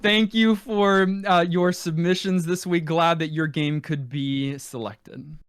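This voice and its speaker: A man's voice: fairly high pitch, steady tone, slow. A man co-hosting a podcast in casual, teasing conversation about video games. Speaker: podcast co-host.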